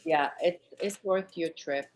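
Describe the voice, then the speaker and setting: Low-voiced woman hosting a chat. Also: unhurried, darkish tone. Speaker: show host. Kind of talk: casual interview chat, wrapping up.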